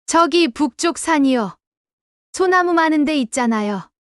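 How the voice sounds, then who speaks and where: A woman's voice: high voice, expressive, measured pace, no echo, clean studio sound. A woman playing a helpful, chatty village shopkeeper in an audio drama. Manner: chatty and forthcoming. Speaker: village shop owner.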